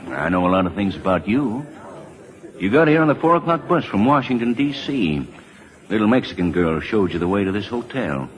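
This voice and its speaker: A sly, folksy fellow talks genially in a low voice that rises and falls freely, in old-time radio style. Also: male voice, sing-song, a little fast, poor audio. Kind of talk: 1940s radio acting.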